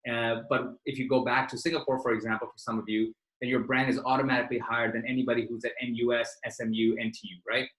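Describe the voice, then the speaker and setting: A man's voice: mid-range voice, little pitch movement, fast talker, hardly any pauses, fairly bright tone, roomy sound, low-quality recording. A man from Pakistan hosting a career webinar for students, talking informally and directly with plenty of fillers. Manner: informal and direct, lots of fillers, addresses listeners as "you guys". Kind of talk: casual, interactive online presentation.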